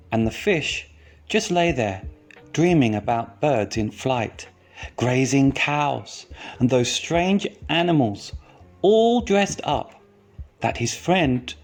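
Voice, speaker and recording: A man reading a children's picture book aloud as storytime reader, deliberate and pausing between short phrases. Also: medium pitch, expressive, faint music behind.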